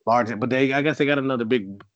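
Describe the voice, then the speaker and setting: A man's voice: medium pitch, fast talker, no room sound, muffled recording. A man co-hosting a podcast in casual chat, blunt and opinionated, swearing freely and mocking bits he dislikes. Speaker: podcast co-host.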